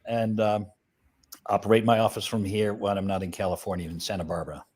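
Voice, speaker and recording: A man's voice: fairly low pitch, brisk, breathy edge. A man in his sixties from the Netherlands, a guest chatting easily on a video call with a tongue. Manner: relaxed, chatty, uses fillers. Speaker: guest, flower company CEO. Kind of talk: casual interview talk.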